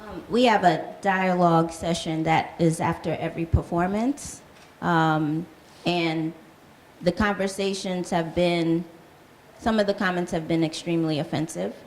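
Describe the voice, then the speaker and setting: A woman's voice: fairly low pitch, steady tone, unhurried, slight background noise. A woman who leads a dance company, speaking thoughtfully at a panel discussion, with some hesitant fillers. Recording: roomy hall with faint ambience.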